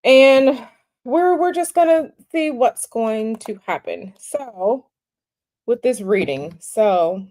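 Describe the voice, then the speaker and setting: A woman hosting a tarot livestream, talking casually in a halting, repetitive way with many fillers, sniffly from a cold. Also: fairly high pitch, animated, a little mellow.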